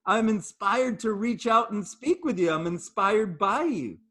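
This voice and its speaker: Earnest high-voiced middle-aged man. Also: expressive, measured pace, a bit bright. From Jamaica, raised in Canada. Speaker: fitness trainer and host. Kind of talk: casual conversational interview.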